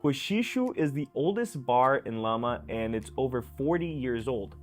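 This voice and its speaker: An upbeat man narrates a travel guide, recommending places to eat and hike. His voice sits mid-pitch, and soft music plays underneath. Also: lively intonation, moderate pace. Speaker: travel vlog host.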